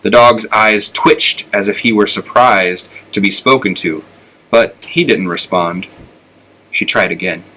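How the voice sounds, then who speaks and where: Bright-voiced American man reading a storybook. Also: lowish voice, measured pace, low-quality recording. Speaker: elementary school teacher reading aloud. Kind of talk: children's storybook narration.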